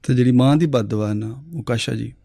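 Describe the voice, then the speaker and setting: A man's voice: mid-range voice, fast talker, gravelly, no room sound, clean studio sound. A man telling moral stories on a talk show, acting out the lines of the figures in his tales. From Pakistan. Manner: animated storyteller who acts out the story's lines. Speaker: storyteller guest.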